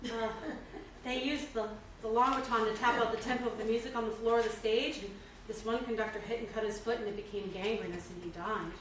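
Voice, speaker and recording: A woman's voice: a little high, steady tone, a little fast, natural voice. A woman reading a polite, knowledgeable, dry-witted character in a stage play, hesitant as she explains. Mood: explanatory. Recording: distant, echoey, noisy stage recording.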